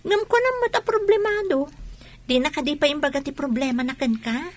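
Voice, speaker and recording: A woman's voice: sits high, brisk, no room sound, natural voice. A woman playing a questioning, chiding young friend in a radio drama. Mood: concerned, chiding.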